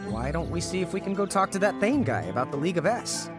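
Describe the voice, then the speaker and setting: A man's voice: high voice, big pitch swings, fast. A man playing a laid-back, goofy young hero in a video game, casual and slangy. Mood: easygoing. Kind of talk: video game cutscene acting. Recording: loud music behind the voice.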